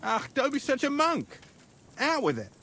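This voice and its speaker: An actor playing a nosy, bawdy young soldier in a medieval game, talking in a teasing, colloquial way. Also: male voice, very high voice, wildly swooping pitch, normal speed, sharp tone, exaggerated voice. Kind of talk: video game cutscene acting.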